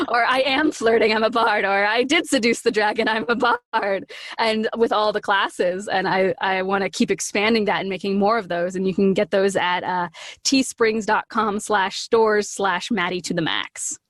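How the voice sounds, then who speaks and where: A woman chatting casually as an interview guest, animated and gushing, slipping into little acted-out asides. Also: mid-range voice, normal speed, no echo. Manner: animated, gushing, acts out her own quoted lines.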